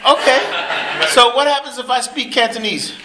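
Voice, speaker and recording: A man's voice: very high voice, fairly even intonation, normal speed. A man preaching in short phrases for an interpreter, speaking in an informal, joking way. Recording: echoey room, faint noise.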